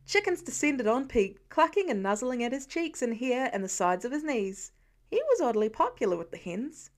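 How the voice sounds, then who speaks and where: A woman's voice: a little high, big pitch swings, moderate pace, a little hiss or hum. A woman narrator reading a scripted piece of fiction as an audiobook.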